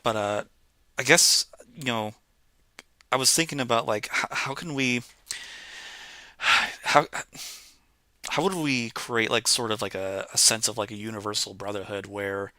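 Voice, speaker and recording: A man's voice: on the low side, unhurried, long pauses, pushed and bright, dry recording. A man hosting a casual online chat, talking loosely with lots of filler words. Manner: rambling, filler-heavy with many "like"s. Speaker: podcast host.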